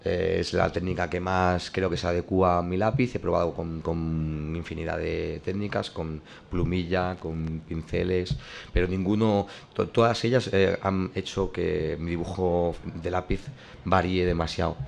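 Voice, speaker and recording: Low gravelly man explaining his craft. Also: flat delivery, a little slow, a little mellow, a little hiss or hum. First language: not French. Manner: hesitant, many restarts and fillers. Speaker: comic artist. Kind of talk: explaining his drawing process.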